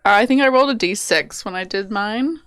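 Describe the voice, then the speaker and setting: A woman's voice: medium pitch, fairly quick, fairly bright tone, dry recording. Playful woman player, dry close sound. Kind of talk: casual tabletop play.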